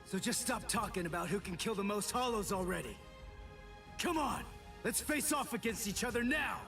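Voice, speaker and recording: Hot-headed teenage boy, high male pitch, lively swings, blunt, intense anime game dub, music behind. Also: moderate pace, creaky, full character voice. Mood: confrontational. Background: loud game music.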